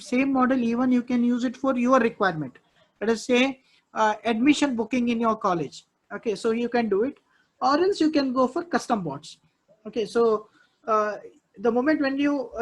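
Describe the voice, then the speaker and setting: A male instructor with an Indian English accent, walking students through a live technical class, often repeating himself and checking "okay, understood?". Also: very high-pitched.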